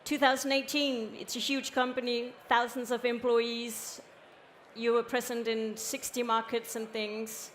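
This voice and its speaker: A woman interviews a guest on a conference stage, her voice sitting somewhat high. She asks friendly, curious questions in a conversational tone. A faint hiss lies beneath the recording. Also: level tone, measured pace, fairly bright tone.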